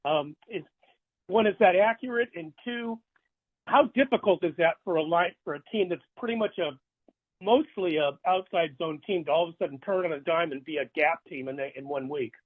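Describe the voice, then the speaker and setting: A man working as a sports reporter, asking long unscripted questions in a halting, rephrasing way. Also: fairly high pitch. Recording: narrow telephone line.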